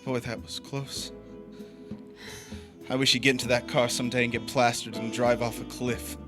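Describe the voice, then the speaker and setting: A man's voice: medium pitch, little pitch movement, normal speed, over loud music, natural voice. A man playing a restless, smitten drifter in a crime drama, terse and uneasy. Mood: grim, uneasy. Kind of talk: crime drama acting with first-person narration.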